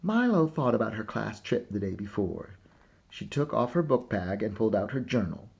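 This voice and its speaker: A man reading a children's story aloud in a deliberate, animated narrating style. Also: fairly low pitch, gravelly, highs cut off. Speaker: storybook reader.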